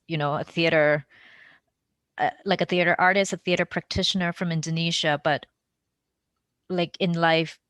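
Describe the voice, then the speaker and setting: A woman's voice: deep voice, a bit bright, no echo. A woman interviewing a guest in a casual, conversational way, wording her questions loosely and informally as she goes. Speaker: podcast interviewer.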